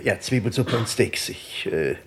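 An actor playing an anxious, evasive supermarket owner in a radio crime play, halting and stammering as he defends himself. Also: on the low side, animated, a little hiss or hum. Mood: nervous explaining.

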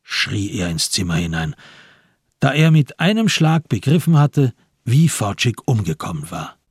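A man narrating a literary crime radio play in a measured, deliberate and dramatic way. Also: lowish voice, sing-song, breathy edge, dry recording, clean studio sound.

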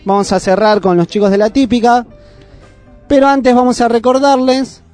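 A high-voiced Argentine man presents on community radio. He speaks casually, as a co-host would, with faint music running behind him. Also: steady tone, normal speed, darkish tone.

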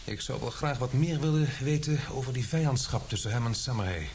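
An actor playing a courteous, eager newspaper reporter in a Dutch radio play, well-spoken and a little hesitant. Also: male voice, medium pitch, fairly quick, a little mellow, slight background noise, lightly acted. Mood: courteous, businesslike. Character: polite, eager male newspaper reporter, Paul Garnet.

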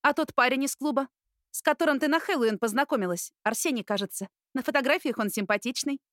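Woman acting older sister, high voice, caring, motherly, cheeky, playful, audiobook character, dry sound. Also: fast, dry recording. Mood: coaxing. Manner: playful, animated.

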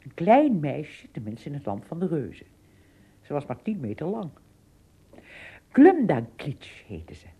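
A woman narrating an adventure tale in a radio play, with expressive, dramatic phrasing and a husky, breathy voice. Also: sits low, a little slow, pauses often, exaggerated voice. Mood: fond storytelling. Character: female storyteller of an adventure tale.

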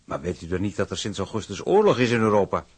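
An actor playing a dry, knowing, brisk port official in a radio drama. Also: male voice, lowish voice, sing-song, breathy edge. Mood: matter-of-fact. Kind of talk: Dutch radio drama acting.

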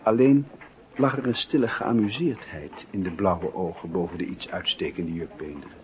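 A man's voice: sits low, steady tone, moderate pace, music in the background, low-quality recording. A man reading scripted literary narration for a radio drama.

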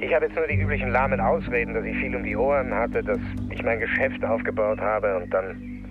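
An actor playing a friendly, apologetic former agent chatting through a telephone filter in a radio drama. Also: male voice, medium pitch, a little fast, music in the background. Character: apologetic, friendly male ex-agent, newly engaged. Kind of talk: radio drama phone conversation. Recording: narrow tinny phone line.

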